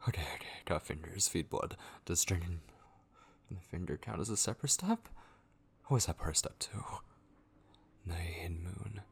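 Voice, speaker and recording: A man playing a frantic, forgetful vampire in a horror ASMR roleplay, muttering hurried questions to himself in a husky voice. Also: low voice, heavily acted. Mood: flustered, muttering.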